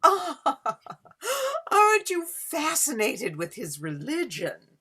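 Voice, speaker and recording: A woman's voice: sits high, big pitch swings, slow pace, pushed and bright, heavily acted. A woman playing a flirtatious Gilded Age society hostess in a period play reading, speaking in an affected, coy and theatrical way. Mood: coy, fascinated. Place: indoors, remote online reading.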